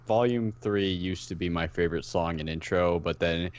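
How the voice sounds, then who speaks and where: A man speaking as a podcast guest in casual chat, with hesitant, halting phrasing and repeated words. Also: sits low, muffled recording.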